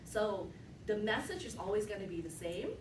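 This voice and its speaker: A woman leading a team meeting, giving an animated motivational talk that keeps checking in with "right?". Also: medium pitch, normal speed. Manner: animated, often asks "right?". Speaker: team leader. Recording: echoey room from far off, noisy.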